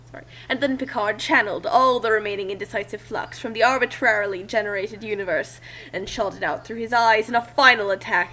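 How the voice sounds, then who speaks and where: A woman's voice: on the high side, a bit bright. A woman reading a story aloud as amateur dramatic narration. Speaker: narrator and co-reader.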